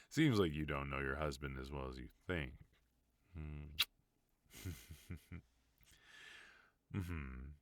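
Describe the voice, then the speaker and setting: A voice actor playing a protective, tender yet dangerous mafia boss husband in an intimate ASMR roleplay, speaking softly and unhurriedly into a close microphone. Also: male voice, rumbling low, no echo, full character voice. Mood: teasing, menacing. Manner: soft, intimate, unhurried delivery.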